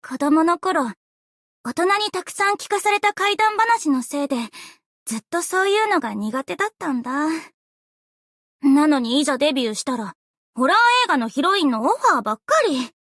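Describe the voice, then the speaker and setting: A woman playing an earnest, bubbly, easily flustered young female idol in an anime game, with a cute, expressive, girlish delivery. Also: sits high, a little fast, no room sound, clean studio sound. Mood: mildly grumbling. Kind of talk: anime game character voice lines.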